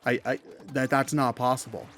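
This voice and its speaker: A man co-hosting a game commentary show, talking casually in an animated, opinionated rant and swearing freely. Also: medium pitch, normal speed, slight background noise.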